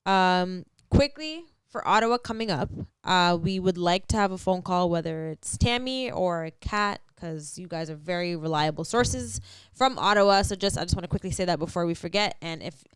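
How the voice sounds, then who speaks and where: Woman, podcast co-host, smooth clear voice, dry close sound, casual slangy sports chat. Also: fairly low pitch, lively intonation, moderate pace, no echo. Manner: informal slangy shout-outs.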